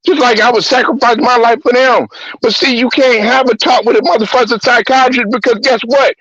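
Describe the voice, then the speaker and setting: A man's voice: very high-pitched, steady tone, brisk, a bit bright, breathy edge, no room sound, muffled recording. A man hosting a talk show, ranting bluntly and profanely with heated repetition. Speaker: host. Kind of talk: profane sports-talk rant.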